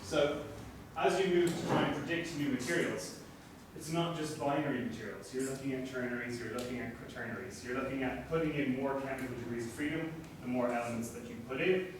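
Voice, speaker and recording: A young Irish man giving an academic talk as a lecturer, relaxed and self-deprecating, with frequent fillers. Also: medium pitch. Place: seminar room. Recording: echoey room from far off, noisy.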